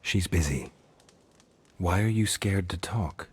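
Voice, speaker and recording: Low, gruff, stoic monster hunter. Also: male voice, slow, heavily acted. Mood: calm, guarded. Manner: terse, flat, restrained. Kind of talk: video game cutscene acting.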